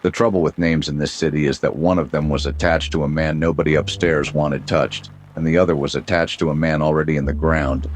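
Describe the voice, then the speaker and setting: A man narrating as a world-weary private detective, deadpan with dry wisecracks, in a 1930s-style noir radio drama. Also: very deep voice, a little fast, hardly any pauses, slight background noise.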